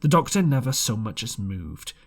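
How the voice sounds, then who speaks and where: Male audiobook narrator, mid-pitched, husky, animated and a little halting. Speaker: audiobook reader. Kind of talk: expressive amateur storybook narration.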